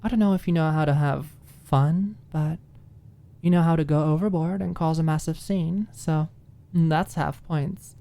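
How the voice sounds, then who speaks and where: A woman's voice: sits low, steady tone, a little slow, vocal fry, dark tone. A woman voicing a cocky, tough college student with a blunt, sardonic, teasing way of talking. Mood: smug teasing.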